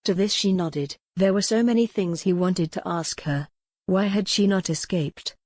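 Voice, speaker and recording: A woman's voice: lowish voice, moderate pace, a little mellow, dry recording, clean studio sound. A woman reading a historical romance novel as a scripted, dramatic audiobook narration.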